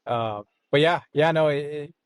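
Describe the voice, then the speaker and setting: A man's voice: a little high, normal speed, clean tone, no room sound, clean studio sound. A man co-hosting a podcast, giving casual conversational market commentary with hedging, self-deprecating asides. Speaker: podcast co-host discussing stock investing.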